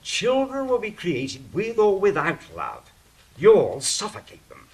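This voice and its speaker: A man's voice: sits high, wildly swooping pitch, takes it slowly, exaggerated voice. A man playing a scornful, commanding angel in an audio drama, declaiming sardonically over background hiss. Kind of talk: stage-style audio drama acting.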